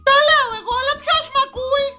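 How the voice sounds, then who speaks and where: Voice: very high voice, fairly even intonation, sharp tone, soft background music, heavily acted. A performer voicing a nagging, melodramatic Greek mother in a comic recital, exasperated and full of theatrical guilt-tripping. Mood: nagging, threatening. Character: Voulitsa, shrill melodramatic scolding Greek mother character. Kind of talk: comic recital of stock parental catchphrases.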